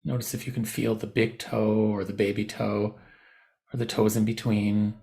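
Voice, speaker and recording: A man leading a guided meditation, giving gentle, unscripted instructions with softened, informal phrasing. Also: fairly low pitch, level tone, moderate pace, creaky.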